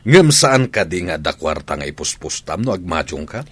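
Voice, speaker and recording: A sarcastic middle-aged family man in a radio drama, forever nagging. The voice is fairly low and husky, with lively swings of pitch. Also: moderate pace, no room sound, heavily acted. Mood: scolding. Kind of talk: radio drama acting in Ilocano.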